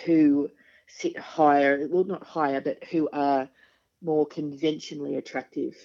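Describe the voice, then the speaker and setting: A woman in her forties with a very low, gravelly speaking voice, chatting frankly and with quick wit about her singing career. The audio is a little dull and lo-fi, like a home podcast setup. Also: steady tone, warm tone. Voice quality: deep speaking voice. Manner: frank, chatty, quick-witted, laughs often. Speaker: singer, guest. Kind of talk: casual interview chat.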